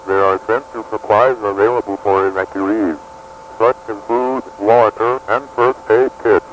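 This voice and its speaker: A man reading a formal scripted emergency broadcast as an impersonal, measured announcer. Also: fairly low pitch, rough recording. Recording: radio broadcast with faint hum.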